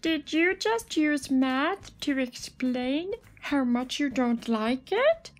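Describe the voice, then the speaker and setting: High-pitched curious little space alien voiced by a woman. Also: very animated, takes it slowly, soft background music, heavily acted. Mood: teasing, curious. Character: curious, friendly purple space alien who loves math.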